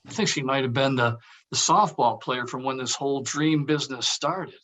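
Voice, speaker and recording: A male actor plays an aging, anxious schemer in a comedy script. He sounds dry and sardonic, with a hesitant, everyday delivery and a mid-pitched voice. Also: normal speed, fairly bright tone, close to an everyday voice. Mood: dry, resigned. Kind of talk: table read of a comedy script.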